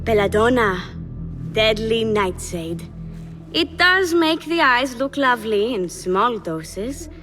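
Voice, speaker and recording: An actress playing a coy, coldly loyal young palace schemer in a game cutscene, speaking in a sly, controlled, deliberate way. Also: female voice, a little high, sing-song, a bit bright, soft background music, full character voice. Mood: sly, cool. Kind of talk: video game cutscene acting.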